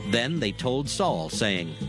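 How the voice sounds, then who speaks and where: A man's voice: mid-range voice, big pitch swings, slow, music in the background. A man narrating a dramatized scripture recording as a solemn storyteller.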